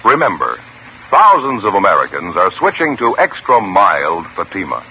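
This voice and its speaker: A man's voice: low voice, wildly swooping pitch, moderate pace, bright, forceful tone, a little hiss or hum. A man working as a radio announcer with a firm, declarative 1950s broadcast delivery. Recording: dull old radio broadcast with music.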